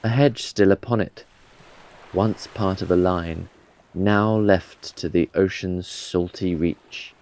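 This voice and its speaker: A man reciting poetry in a measured, reflective way. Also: sits low, fairly even intonation, a little mellow. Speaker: poetry reader.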